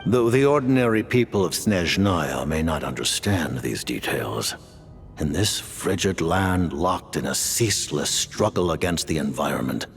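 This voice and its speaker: An actor playing an ancient, commanding male leader who speaks in slow, measured pronouncements in a game cutscene. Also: sits low, creaky, full character voice. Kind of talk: video game cutscene voice acting.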